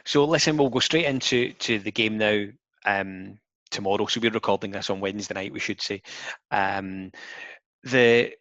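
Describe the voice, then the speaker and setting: A man's voice: fairly low pitch, moderate pace, a bit bright, no echo, highs cut off. A man hosting a casual podcast interview from home on a headset, chatty and given to restarts. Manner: chatty, with frequent restarts and fillers. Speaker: podcast host. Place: home room, video call.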